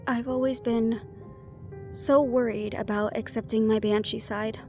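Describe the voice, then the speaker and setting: Woman playing a high-voiced, confiding half-banshee heroine. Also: steady tone, unhurried, a little mellow. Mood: wistful, resolute. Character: young female half-banshee siren, worried, wry, secretly vulnerable. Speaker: audio roleplay voice actor. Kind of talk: intimate scripted audio roleplay. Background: background music.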